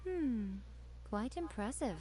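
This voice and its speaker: A woman voicing a poised, aloof noblewoman in an anime game, measured, elegant and coolly amused. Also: high-pitched, lively intonation, warm tone.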